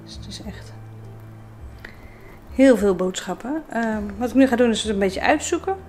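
A woman's voice: fairly high pitch, expressive, normal speed, darkish tone. Casual woman vlogger with music behind. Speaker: sailing vlogger. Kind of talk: casual vlog talk to camera. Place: indoors in a home living room. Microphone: handheld camera at arm's length.

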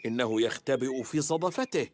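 A woman's voice: a bass voice, expressive, a little fast, background noise. A woman voicing a jolly old male pig grandfather in a dubbed cartoon, with a teasing, chuckling manner. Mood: explaining. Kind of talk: cartoon dub acting.

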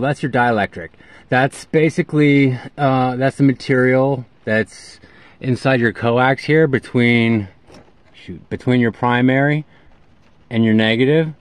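An American man in his forties explaining things in a casual, informal vlog style, with emphatic, halting phrasing. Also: medium pitch, dry recording. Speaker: radio hobbyist video host. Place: inside a parked SUV.

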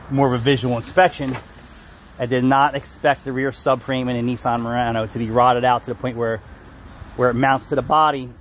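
A man's voice: mid-range voice, slight background noise. A man in his fifties, a car shop owner, ranting bluntly and swearing into a phone held at arm's length outdoors. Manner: angry, blunt, swears and rambles. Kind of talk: ranting vlog to camera. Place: outdoors beside a building.

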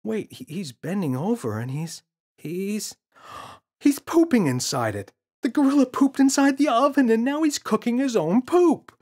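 A man's voice: very high voice, lively intonation, a little slow, a little mellow, voice pushed up, exaggerated voice. A man voicing an indignant, exasperated young boy in a children's story, pleading and insistent. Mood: frantic.

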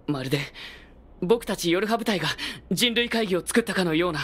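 A man's voice: high-pitched, expressive, a little fast, a bit bright, breathy edge, heavily acted. Earnest young android soldier boy. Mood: troubled. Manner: polite, earnest, hesitant. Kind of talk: dramatic video game acting.

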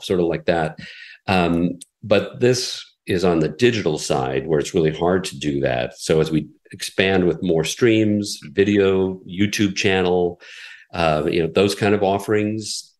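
Deep-voiced American man explaining calmly. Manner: measured, explanatory, frequent "you know" fillers. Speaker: classical radio station president, guest. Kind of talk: conversational interview answers.

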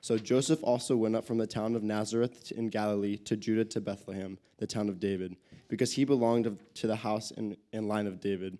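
A young man reading scripture aloud in church into a handheld microphone, a little haltingly. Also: fairly low pitch, fairly even intonation, dark tone. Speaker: scripture reader. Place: church sanctuary stage.

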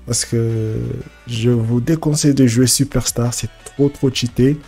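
A man's voice: medium pitch, fairly even intonation, warm tone, soft background music. Congested man casually commentating a football game. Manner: halting, repeats words while thinking aloud. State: congested with a cold. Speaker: gaming streamer.

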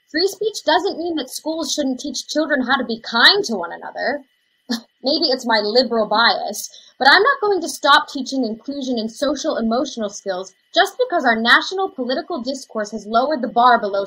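Bright, breathy young teacher, played by a woman. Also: sits high, lively intonation, normal speed, exaggerated voice, rough recording. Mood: firm. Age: young adult. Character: earnest, caring young female elementary school teacher. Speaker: actor playing a teacher. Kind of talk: scripted dramatization on video call. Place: indoors, plain backdrop, video call.

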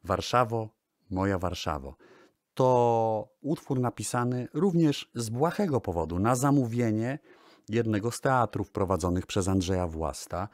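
A man's voice: low-pitched, no echo, studio quality. A man presenting music history in the style of a radio programme. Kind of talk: spoken music-history narration.

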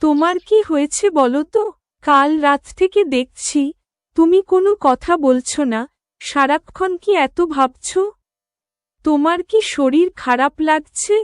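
Woman playing a high-voiced, worried village wife. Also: measured pace, no echo, studio quality. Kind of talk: cartoon dialogue.

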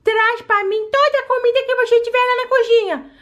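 A woman's voice: very high voice, steady tone, a little fast, a bit bright, dull audio. A woman voicing a young waitress girl in a doll play video, polite and easily flustered. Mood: exasperated. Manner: polite, then exasperated.

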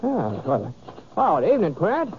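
Shy stammery cowboy in an old radio western, swingy pitch, tinny phone-like sound. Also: male voice, wildly swooping pitch, slight background noise. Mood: flustered. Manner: hesitant, halting, folksy. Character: bashful, stammering, easygoing cowboy stalling a wedding. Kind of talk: 1950s radio western acting. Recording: muffled, tinny 1950s radio recording.

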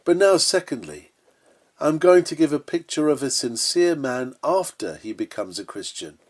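A man's voice: a little high, sing-song, normal speed. A man reading an old-fashioned sermon aloud in an earnest, solemn and emphatic preaching manner. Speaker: sermon reader.

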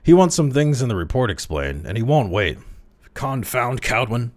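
A man's voice: medium pitch, big pitch swings, normal speed, voice pushed up, natural voice. A man voicing a nervous middle-aged male company president. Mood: fretful. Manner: nervous, fretful.

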